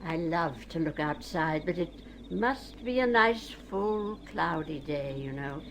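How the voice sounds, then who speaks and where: Slow, eerie old woman. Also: low voice, animated, a little hiss or hum, heavily acted. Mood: probing, musing. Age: older. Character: lonely eerie old woman taken for a witch. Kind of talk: radio drama acting.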